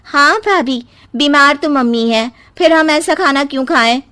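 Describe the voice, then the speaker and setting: एक महिला द्वारा निभाई गई शिकायती युवा ननद. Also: female voice, sits high, unhurried, pushed and bright, voice pushed up. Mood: complaining. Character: sulky young woman, the grumbling sister-in-law.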